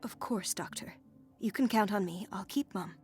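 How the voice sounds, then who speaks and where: An actress playing a dutiful, discreet hospital nurse in a video game, deferential and earnest. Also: female voice, mid-range voice, moderate pace, darkish tone, soft background music, lightly acted. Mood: hushed, anxious. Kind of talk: video game cutscene acting.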